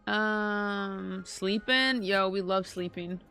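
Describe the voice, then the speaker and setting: A woman's voice: mid-range voice, slow pace, bright, forceful tone. A woman chatting casually and slangily on a game livestream. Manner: slangy, informal. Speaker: game streamer. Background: game music.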